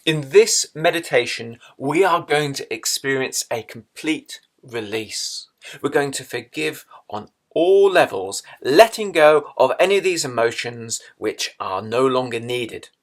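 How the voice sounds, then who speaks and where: A man leading a guided meditation in a measured way, leaving long pauses between phrases. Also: mid-range voice, animated.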